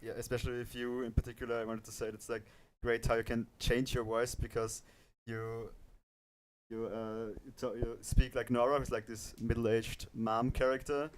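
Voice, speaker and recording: A man hosting a casual panel livestream, talking in a halting, rambling way. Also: medium pitch, flat delivery. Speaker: livestream host.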